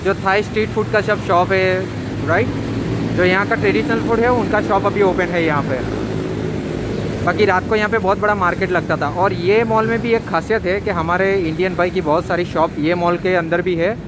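A chatty man from India narrates a walking tour, recorded on a handheld device as he walks. His voice sits high. Traffic and city noise carry clearly underneath. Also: steady tone, normal speed. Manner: chatty, keeps asking "right?". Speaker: travel vlogger. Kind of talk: casual walking tour commentary. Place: outdoors on busy city streets. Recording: street traffic noise behind.

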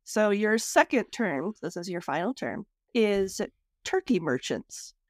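A woman's voice: medium pitch, very animated, a little slow, no room sound, studio quality. A woman hosting a podcast in a casual, chatty style. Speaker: podcast host. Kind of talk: casual chat.

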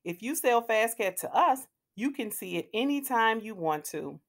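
A woman's voice: a little high, animated, moderate pace. A woman voicing a friendly, reassuring big-brother boy in a children's storybook.

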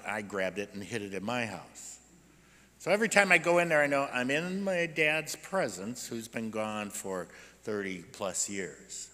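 An American man in his sixties preaching a conversational, explanatory sermon as a pastor, animated and full of rhetorical questions. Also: a little high. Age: 60s. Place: indoors at a church pulpit. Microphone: gooseneck pulpit microphone. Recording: reverberant church sanctuary.

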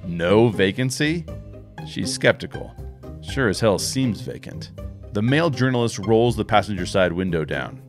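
A man in his forties reading screenplay action lines in a measured, wry way into a studio microphone in a home podcast studio. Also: fairly low pitch, big pitch swings, faint music behind. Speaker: host and narrator. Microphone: studio microphone with headphones.

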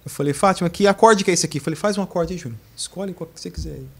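Fast, husky Brazilian man telling a story. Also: high voice. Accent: Brazilian Portuguese. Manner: lively, quotes others with animated voices. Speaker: guest, husband. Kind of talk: casual storytelling.

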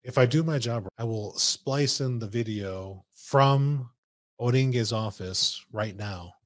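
A man's voice: mid-range voice, takes it slowly. A middle-aged man hosting a podcast in an office meeting room, talking into a desk mic in an upbeat, teasing way. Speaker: podcast host. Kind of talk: lively on-site podcast chat.

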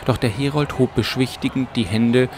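A man's voice: medium pitch, steady tone, normal speed, darkish tone, slight background noise. A man narrating a scripted fantasy audiobook as a storyteller. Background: music and ambience.

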